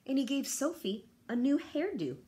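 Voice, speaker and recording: A woman teacher reading a picture book aloud to children, expressive, with chatty asides to her young listeners. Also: high voice, normal speed, a little hiss or hum.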